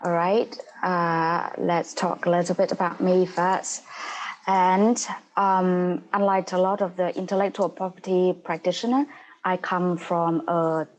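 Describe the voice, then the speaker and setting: Woman, Vietnamese accent, thirties, webinar speaker, video call, halting, earnest. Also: fairly low pitch, level tone, a little mellow, poor audio. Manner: halting, non-native grammar, earnest and explanatory. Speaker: paralegal and guest speaker. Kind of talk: online webinar presentation.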